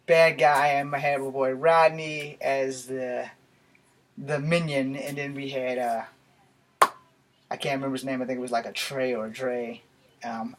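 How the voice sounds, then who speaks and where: An American man in his forties giving a rambling, casual vlog talk to camera in a small bedroom. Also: medium pitch. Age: 40s. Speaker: YouTube vlogger. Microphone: camera a few feet away. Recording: noisy home camera recording with clicks.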